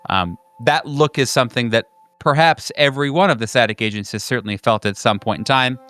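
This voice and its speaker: A man narrating as game master of a horror tabletop game in a deliberate, halting, dramatic way. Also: medium pitch, a bit bright, no room sound.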